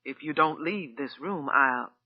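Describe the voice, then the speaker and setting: A man's voice: a little high, unhurried, muffled recording. A reader voicing a stern older man, master of the house, giving curt orders in an audiobook. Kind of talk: audiobook character voice.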